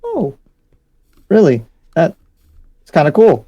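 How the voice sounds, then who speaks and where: A man's voice: a little high, huge pitch swings, slow, husky, dull audio, exaggerated voice. A man playing an easygoing, curious demon slayer in an improvised anime game roleplay, casual and hesitant. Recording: music behind.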